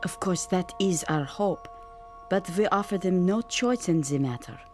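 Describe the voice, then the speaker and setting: An actress playing a troubled expectant mother in a radio drama, earnest, formal and gently hesitant. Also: fairly low pitch, lively intonation, measured pace. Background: faint music.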